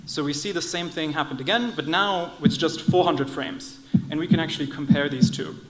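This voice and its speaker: A man's voice: on the high side, brisk, in a large hall, low-quality recording. A man explaining a live demo step by step at a conference. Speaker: conference speaker.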